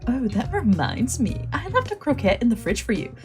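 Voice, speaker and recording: A woman voicing a kind, friendly young man who likes cooking, reading his game lines in a chatty, gentle way. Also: fairly high pitch, sing-song, normal speed, soft background music, voice pushed up. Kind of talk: reading game dialogue aloud.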